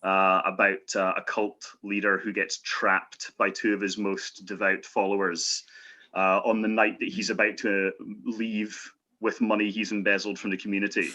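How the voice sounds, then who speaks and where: A man's voice: fairly low pitch, little pitch movement, moderate pace, bright, forceful tone. A man chatting casually as a guest screenwriter on a podcast, wordy and politely tongue-in-cheek.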